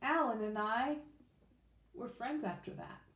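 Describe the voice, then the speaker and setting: A woman reading a children's storybook aloud in an expressive, informal way. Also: on the high side, measured pace. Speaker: children's book reader. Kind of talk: read-aloud storybook narration. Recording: echoey room.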